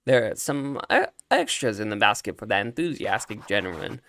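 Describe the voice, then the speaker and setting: A man voicing a brisk, businesslike elderly grandmother in a video game, reading the dialogue in a measured way. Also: fairly low pitch, animated, sharp tone. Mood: brisk, matter-of-fact.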